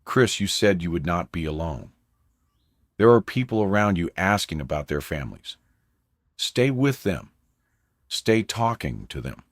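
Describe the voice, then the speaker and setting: A man playing a grave radio news anchor in an apocalypse drama, speaking solemnly and deliberately in halting phrases. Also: deep voice, very animated, no room sound, clean studio sound, full character voice. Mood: gentle, steadying. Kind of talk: dramatized breaking news broadcast.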